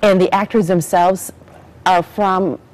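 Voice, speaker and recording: A woman's voice: lowish voice, steady tone, a little slow, a little mellow. A woman hosting a show, interviewing a guest in a conversational, promotional style.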